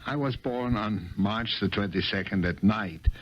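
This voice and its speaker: An actor playing a meek, muddled middle-aged government clerk in a 1970s radio drama, hesitant, stammering and deferential. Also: male voice, on the low side, a little slow, lightly acted. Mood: rambling, earnest. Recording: dull vintage 1970s radio broadcast.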